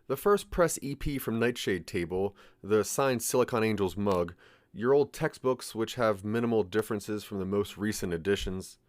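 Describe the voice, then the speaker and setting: A man's voice: on the low side, expressive, moderate pace, dry recording, studio quality. A man narrating a scripted second-person audio drama like a dramatic storyteller, addressing the listener as the main character.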